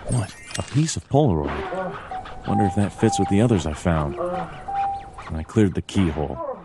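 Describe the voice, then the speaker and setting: An actor playing a dry, weary bird detective, narrating a noir adventure game in terse deadpan. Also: male voice, fairly low pitch, measured pace, very noisy, heavily acted. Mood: dry, observing. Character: brooding anthropomorphic bird detective, adult male, dry and weary. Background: jazzy background music.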